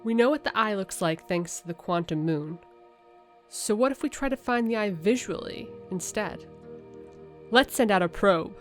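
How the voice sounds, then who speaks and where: A woman's voice: medium pitch, expressive, normal speed, soft background music, lightly acted. A woman voicing curious alien scientists from a game, reading their written messages aloud in an earnest, conversational way.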